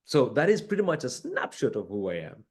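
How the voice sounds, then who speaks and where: A man from northern Uganda speaks in an average-pitched voice as a podcast guest, reflecting earnestly on his life and values. He leans hard on certain words and fills his pauses with "uh" and "um", speaking over a video call from a room lined with books. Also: very animated, breathy edge. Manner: earnest, emphatic, with many fillers. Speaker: interview guest, corporate executive. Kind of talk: reflective conversational interview. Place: room with books behind him.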